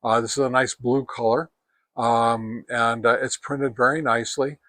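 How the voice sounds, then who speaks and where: An older American man giving a casual, unhurried product review, explaining things step by step. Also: medium pitch, flat delivery, fairly bright tone. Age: older, 60s or above.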